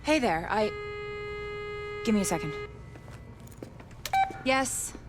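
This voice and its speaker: An impatient, bossy doctor in a game cutscene. Her pitch is medium and music plays clearly behind her. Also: animated, slow pace. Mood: irritated. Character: short-tempered, demanding female doctor. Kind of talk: video game cinematic acting.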